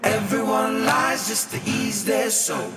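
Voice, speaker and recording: A woman's voice: a little high, slow. A woman singing a pop song. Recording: echoey studio reverb under loud music.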